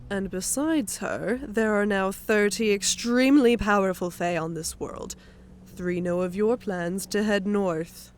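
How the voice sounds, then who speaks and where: A woman's voice: medium pitch, unhurried, close to an everyday voice. A woman playing a proud, grudging warrior goddess with a blunt manner in an audiobook drama. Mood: irritated. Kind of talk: audiobook character acting.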